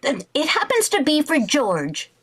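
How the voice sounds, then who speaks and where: An actress playing a sarcastic housewife who makes dry wisecracks, in vintage radio sitcom style. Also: female voice, high-pitched, wildly swooping pitch, unhurried, heavily acted. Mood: dry, resentful. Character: sarcastic young housewife bristling at her mother-in-law.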